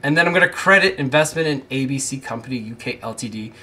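Bright, forceful American man teaching. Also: medium pitch, lively intonation, quick pace. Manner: informal, self-deprecating asides. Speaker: accounting instructor. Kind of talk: explanatory tutorial, conversational. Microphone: close microphone on a boom arm.

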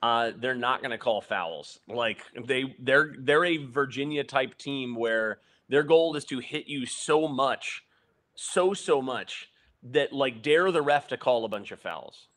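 A man's voice: mid-range voice, animated, measured pace, fairly bright tone. Chatty young man breaking down basketball. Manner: rambling, analytical, frequent fillers and restarts, laughs often. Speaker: podcast co-host. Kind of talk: casual postgame sports chat.

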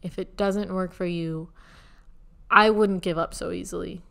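A woman hosting her own podcast in casual solo talk, with a soothing voice, gently guiding listeners through a short meditation. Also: on the low side, fairly even intonation, a little slow, creaky. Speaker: podcast host. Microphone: USB studio microphone.

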